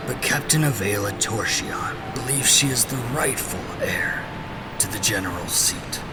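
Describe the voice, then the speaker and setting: A man's voice: lowish voice, lively intonation, moderate pace, far from the mic, very noisy, lightly acted. A man playing a loyal but cowed royal guard in a fantasy drama, speaking in an earnest, dutiful way. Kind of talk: scripted fantasy audio drama dialogue.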